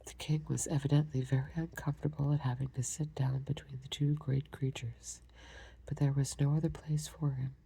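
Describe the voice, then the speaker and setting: A woman reads a storybook aloud almost entirely in an unvoiced whisper. Her sound is breathy and mellow, sitting very low, with a grainy, gravelly edge when the voice comes through. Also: level tone, normal speed, rough recording. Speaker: storybook reader.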